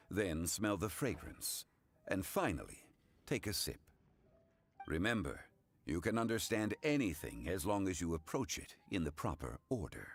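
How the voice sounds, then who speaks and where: A man voicing a courteous, old-fashioned gentleman tea connoisseur in a video game, speaking in a formal, measured, instructive manner. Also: low voice, full character voice.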